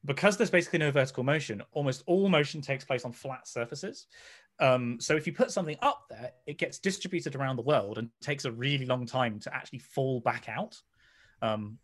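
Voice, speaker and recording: A man's voice: medium pitch, fairly bright tone. A man explaining science casually on an online call, digressing and reaching for analogies.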